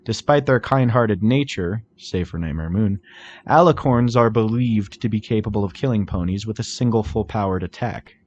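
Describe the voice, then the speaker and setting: A man's voice: on the low side, normal speed, darkish tone, highs cut off, slight background noise. A man reading fan fiction aloud as a scripted story narrator. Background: music.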